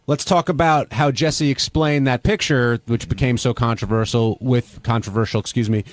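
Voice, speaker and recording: A man co-hosting a radio wrap-up show, speaking in a measured, reasonable way. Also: mid-range voice, steady tone, no room sound.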